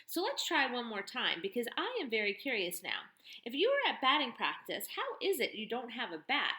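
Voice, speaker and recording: Woman doing a fierce one-legged lady, sly and needling, loud and high, echoey room. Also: huge pitch swings, normal speed, exaggerated voice. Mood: interrogating. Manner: sharp, mocking, interrogating. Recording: roomy echo.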